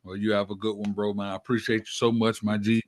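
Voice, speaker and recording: A man's voice: lowish voice, little pitch movement, fast. A man hosting a casual hype interview, talking in slang with frequent check-in tags. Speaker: radio show host.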